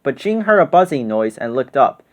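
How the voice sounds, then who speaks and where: A man reading a children's storybook aloud as scripted, expressive narration. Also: mid-range voice, normal speed. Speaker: storybook reader.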